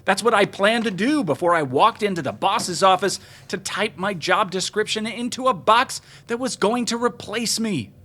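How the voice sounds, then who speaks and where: A man's voice: high-pitched, moderate pace, few pauses, pushed and bright. An actor playing an aggrieved, self-important male marketer in a comedic audio drama, with a wry conversational delivery. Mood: defiant, boastful.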